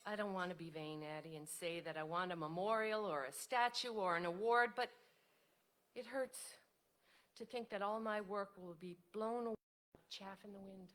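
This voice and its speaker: Elderly female suffragist, played by an actress: modest, lonely, wistful, earnest stage reading at a medium pitch. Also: steady tone, normal speed, a bit bright, lightly acted. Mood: hurt, downcast.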